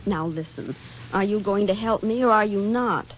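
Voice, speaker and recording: An actress playing a demanding, conspiratorial and brisk woman friend in a 1940s radio comedy. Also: medium pitch, natural voice. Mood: insistent. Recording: muffled, very noisy 1940s radio recording.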